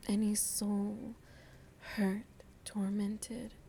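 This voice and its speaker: A woman voicing a seductive, motherly vampire in an ASMR roleplay, soft and breathy, often whispering as she muses intimately to the listener. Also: mid-range voice, little pitch movement, slight background noise. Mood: musing, intimate. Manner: slow, deliberate, musing, addresses listener tenderly. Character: seductive motherly female vampire, worldly, philosophical, gently teasing.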